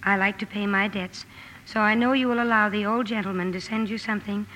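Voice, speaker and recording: A woman's voice: mid-range voice, steady tone, brisk, sharp tone, natural voice. A woman acting a proud, headstrong, tomboyish young woman in a 1950s radio drama, speaking forthrightly. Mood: reading aloud. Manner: forthright, emphatic. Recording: noisy vintage radio recording.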